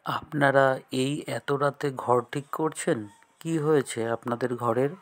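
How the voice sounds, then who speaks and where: A man's voice: mid-range voice, steady tone, a little slow, close to an everyday voice. A man playing a kindly, polite young landlord in a cartoon story, speaking earnestly. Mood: surprised, concerned. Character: kindly young landlord gentleman in disguise, concerned and helpful.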